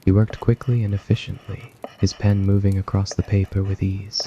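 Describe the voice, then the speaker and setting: A man narrates an ASMR story in a low voice full of gravelly fry. His delivery is soft and scripted, with a husky edge. The recording sounds slightly dull and lo-fi. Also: flat delivery, moderate pace, slight background noise.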